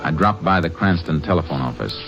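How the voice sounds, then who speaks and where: An actor playing a calm, firm male Texas Ranger who narrates in steady hardboiled fashion, in an old radio drama. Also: rumbling low, fairly quick, creaky, music in the background, exaggerated voice. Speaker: narrator and lead. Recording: dull old radio broadcast.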